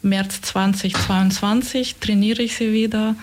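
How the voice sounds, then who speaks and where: A Ukrainian-born woman in her fifties speaks as an interview guest. Her native language is Ukrainian, and she answers conversationally with little rise and fall in pitch. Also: fairly even intonation, measured pace. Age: 50s.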